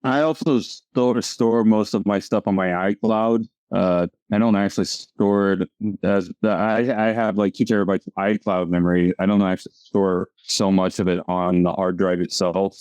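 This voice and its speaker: A comic artist man with a lowish voice chatting casually, often stumbling and repeating himself as he searches for words. His tone is warm and relaxed, and the recording is dry. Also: clean tone, no echo. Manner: halting, stumbling over words. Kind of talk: casual chat over a video call.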